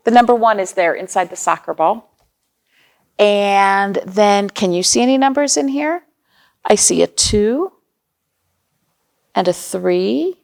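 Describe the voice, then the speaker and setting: A woman with a creaky voice hosting storytime for young children, reading aloud and chatting to them in simple explanations and questions. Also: mid-range voice. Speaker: children's storytime host at a library.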